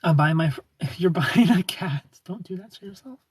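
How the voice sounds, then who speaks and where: Husky-voiced man chatting on a livestream. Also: high voice, expressive, normal speed, dry recording. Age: 30s. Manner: joking, self-mocking, laughs often. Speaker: livestream host. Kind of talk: casual livestream chat reading viewer comments aloud.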